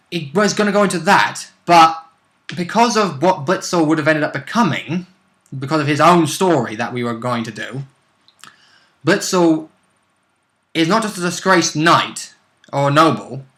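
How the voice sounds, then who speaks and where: A man's voice: on the high side, expressive, measured pace, sharp tone. A man co-hosting a tabletop role-play show, explaining in casual, rambling chat and stumbling over his words.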